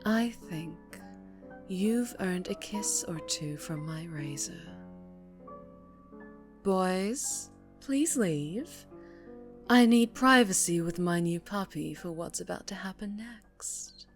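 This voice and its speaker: Woman acting a slow, low, menacing mafia boss. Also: animated, soft background music. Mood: seductive menace. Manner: teasing, menacing, often dropping into breathy whispers. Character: sadistic, seductive female mafia boss interrogating a captive. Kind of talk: ASMR roleplay acting.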